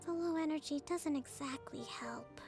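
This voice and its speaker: A woman voicing a calm, composed young female leader and strategist in a video game, speaking in a measured, explanatory way. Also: high voice, close to an everyday voice. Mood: thoughtful. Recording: game audio with music underneath.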